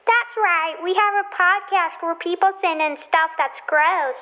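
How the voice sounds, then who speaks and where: Silly little kid joke-teller for radio play played by a female, piping high voice, phone-like sound. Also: a little slow. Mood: storytelling. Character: silly young kid hosting a gross-out joke podcast. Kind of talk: scripted radio play acting. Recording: tinny phone-like voicemail line.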